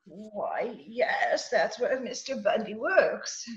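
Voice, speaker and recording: A woman's voice: a little high, expressive, slow pace, lo-fi sound. A woman playing a sweet, naive older mother who chatters in a flustered, genteel, twittering way, in 1940s radio drama style. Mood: innocent, flustered.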